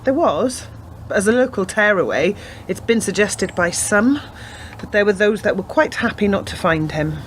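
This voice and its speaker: A woman in a radio play acting a persistent, earnest police detective who lays out facts deliberately. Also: mid-range voice, lively intonation, a little hiss or hum, natural voice. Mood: factual, earnest.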